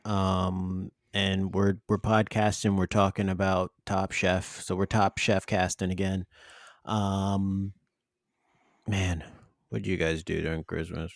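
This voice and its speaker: A man with a fairly low voice hosts a casual chat podcast. He sounds upbeat and a little bossy in a playful way. The sound is dry and close, with no room around it. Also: dry recording. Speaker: podcast co-host.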